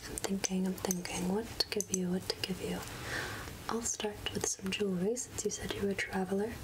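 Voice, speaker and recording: A woman playing a gentle, absent-minded witch healer in a soft-spoken ASMR roleplay, half-whispering hesitantly close to the microphone. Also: mid-range voice, fairly even intonation, lightly acted. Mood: musing, friendly. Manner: slow, gentle, hesitant murmuring with repeated phrases. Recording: close-up ASMR with clear background noise.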